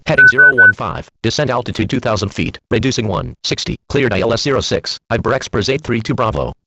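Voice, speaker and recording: A man's voice: mid-range voice, huge pitch swings, quick pace, sharp tone. A man speaking clipped aviation phraseology over an air traffic radio transmission. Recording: dull, band-limited air traffic radio.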